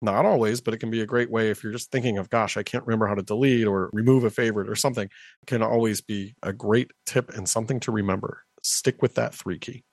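A man's voice: on the low side, steady tone, fast talker, dry recording. A man giving enthusiastic, chatty explanations as a guest in an interview, with occasional fillers. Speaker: director of partnerships guest. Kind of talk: casual interview talk.

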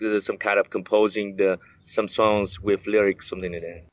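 A man's voice: fairly low pitch, level tone, moderate pace, no echo. English speaking guy, lots of uh and um, kinda muffled dry audio. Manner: hesitant, many fillers and restarts. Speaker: app developer, interviewee. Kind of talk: explaining, casual interview. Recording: slightly dull, lo-fi sound.

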